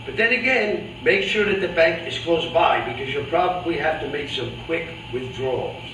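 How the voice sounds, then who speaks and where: A man's voice: a little high, normal speed, fairly bright tone, natural voice. Man playing a blunt boxing manager, echoey room. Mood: cautioning. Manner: blunt, cautionary, matter-of-fact. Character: world-weary, blunt boxing manager, lecturing his fighter. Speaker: actor in a staged reading. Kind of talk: staged reading of a boxing drama. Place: live staged reading in a roomy indoor space. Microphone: distant video camera. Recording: echoey, noisy room, distant camera microphone.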